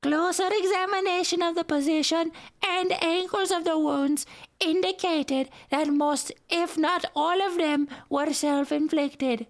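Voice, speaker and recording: A teeny giggly cartoon lemur, super high squeaky voice, reading scary stuff real slow. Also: male voice, fairly even intonation. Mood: gleefully creepy. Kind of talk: creepy story narration in cartoon voice.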